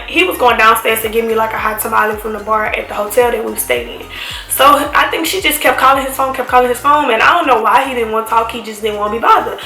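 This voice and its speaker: A young woman telling a story to camera in a casual, slangy, emphatic way, talking straight to her viewers. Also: fairly high pitch. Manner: animated, slangy, emphatic, addresses viewers as y'all. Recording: echoey room with faint music.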